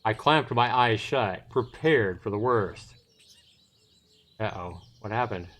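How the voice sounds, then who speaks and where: Low-voiced middle-aged man streaming. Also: expressive, slow pace, fairly bright tone. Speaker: game streamer. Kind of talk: casual livestream narration of a visual novel. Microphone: voice chat microphone.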